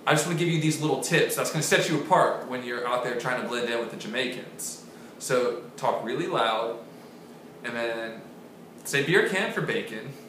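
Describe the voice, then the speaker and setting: Deadpan man in a very echoey room. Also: medium pitch. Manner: deadpan, mock-authoritative. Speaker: host of a comedy accent lesson series. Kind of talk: mock-serious comedy lesson. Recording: very echoey room with faint hiss.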